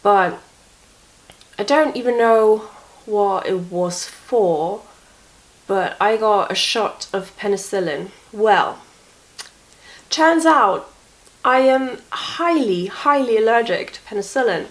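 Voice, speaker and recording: A woman's voice: medium pitch, animated, slow, long pauses, rough recording. A young woman telling stories from bed in a chatty, wry, self-mocking way, sniffly and coughing from a cold. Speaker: vlogger. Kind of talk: casual storytelling vlog from bed.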